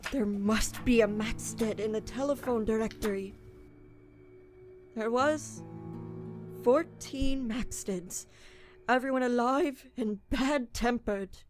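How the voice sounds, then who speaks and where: A woman's voice: fairly high pitch, slow pace, pauses often, over music. A woman playing a guilt-ridden young man, a desperate petty thief, confessing and narrating in a radio drama. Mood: wry, weary. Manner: brooding, dramatic first-person confession.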